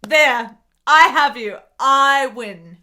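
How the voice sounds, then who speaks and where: An actress playing an intense, imperious, sharp-witted woman in a period radio play. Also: high-pitched, drawn-out words, full character voice. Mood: triumphant, competitive.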